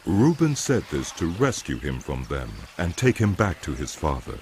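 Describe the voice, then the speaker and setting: A man giving dramatic, scripted Bible narration in a solemn, deliberate manner. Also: a bass voice, very animated, darkish tone, slight background noise. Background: music.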